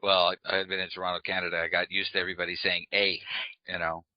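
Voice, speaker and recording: A man with a very low voice hosts a casual interview, drifting into rambling stories and tripping over a word now and then. The sound is dry and a little dull. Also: quick pace, dry recording. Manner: relaxed, rambling asides, some slips. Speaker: interview host.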